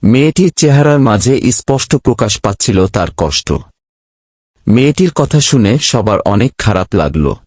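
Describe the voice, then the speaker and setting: Narrator for animated horror tale: man, very expressive read, dry close recording. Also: mid-range voice, moderate pace, dry recording. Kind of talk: scripted ghost story narration.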